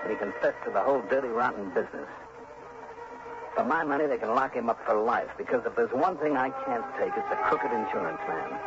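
A man's voice: medium pitch, animated, close to an everyday voice. An actor playing a shrewd, self-assured male insurance investigator, wry and clipped, in 1960s radio drama style. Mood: indignant, wry. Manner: wry, clipped, deliberate storytelling. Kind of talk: 1960s radio drama acting, first-person narration. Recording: dull vintage radio recording, loud music behind.

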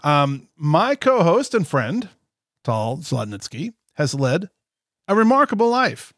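A man's voice: a little high, sing-song, slow pace, dry recording, studio quality. A man in his fifties co-hosting a podcast, jokey and chatty as he reads out a long guest introduction. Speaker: co-host. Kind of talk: podcast interview with an ad read.

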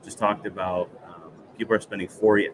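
A man's voice: lowish voice, steady tone, brisk, noisy background. Chatty upbeat man hosting an interview. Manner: chatty and upbeat, friendly questions. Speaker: podcast host. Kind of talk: casual interview.

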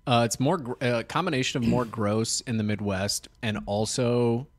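A man's voice: medium pitch, steady tone, moderate pace. A young man co-hosting a casual podcast over beers, easygoing and self-deprecating in his banter. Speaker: podcast co-host.

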